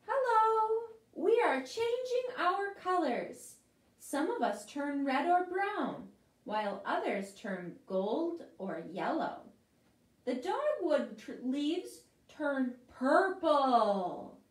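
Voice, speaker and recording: Chirpy storybook autumn leaves, high and cheerful, as a woman puts them on. The voice leaps up and down and sounds roomy and far off. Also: very animated, extremely slow, full character voice. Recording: roomy, distant mic.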